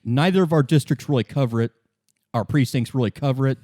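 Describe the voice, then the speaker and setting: A man acting as game master, narrating a tabletop game in an informal, halting, improvised way. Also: medium pitch, warm tone, no echo, studio quality.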